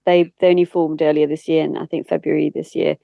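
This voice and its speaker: A woman's voice: deep voice, level tone, quick pace, creaky, no echo, phone-quality sound. A woman speaking candidly and earnestly as an interview guest, explaining things in a conversational way. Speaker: interview guest, campaigner and former spokesperson.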